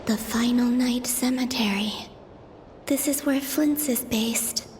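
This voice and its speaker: Game role: a pensive young moon goddess, breathy and soft, higher-pitched, slow and deliberate. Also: female voice, in a large hall, noisy background. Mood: hushed, pensive. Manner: breathy, hushed, unhurried and measured. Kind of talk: dubbed game cutscene inner monologue.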